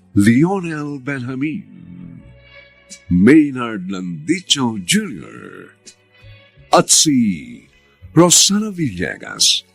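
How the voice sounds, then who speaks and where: A man with a gravelly voice reading dramatic radio drama narration and credits in a measured, theatrical way. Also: on the low side, fairly bright tone.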